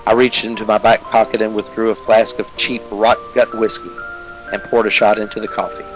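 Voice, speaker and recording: A man playing a world-weary, deadpan police detective narrating a hardboiled crime parody, with music behind. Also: on the low side, a little fast, lightly acted, poor audio. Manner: deadpan, clipped.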